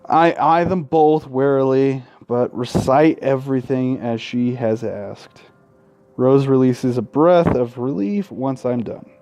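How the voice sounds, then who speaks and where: Male let's-play narrator: unhurried, soft-toned, reads visual novel prose with blunt, profane asides. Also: mid-range voice. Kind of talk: reading game text aloud with casual commentary.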